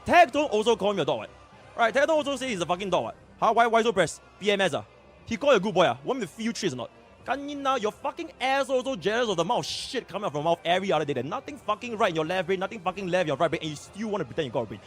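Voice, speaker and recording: A man's voice: high voice, sing-song. A man with a Singaporean English accent in an angry, swearing rant. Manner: furious, swearing, rapid-fire. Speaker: streamer in a played clip.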